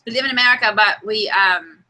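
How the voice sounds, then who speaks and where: A woman's voice: mid-range voice, fast, bright, forceful tone, slight background noise, low-quality recording. A woman co-hosting an improvised comedy chat over a video call, putting on an English accent and rambling playfully in character. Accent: put-on English accent. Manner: playful, rambling, commits to the bit. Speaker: comedy podcast co-host.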